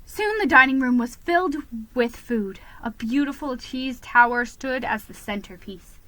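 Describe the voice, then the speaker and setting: Bright, unhurried woman reading a storybook. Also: on the high side, lively intonation, no room sound, poor audio. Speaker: storybook reader. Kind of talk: dramatic children's story narration, first person.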